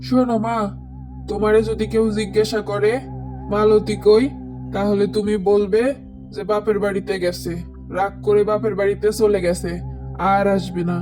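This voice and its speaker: A man voicing a scheming grown son in a cartoon, talking in a measured way. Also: sits high, level tone, over music, close to an everyday voice. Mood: cold, scheming. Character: scheming adult son, attached to his mother. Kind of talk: cartoon voice acting.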